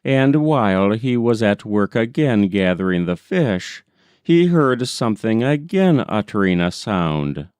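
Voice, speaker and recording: A man reading a folk tale as scripted audiobook narration, deliberate and expressive. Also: lowish voice, clear, resonant tone, dry recording. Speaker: audiobook reader.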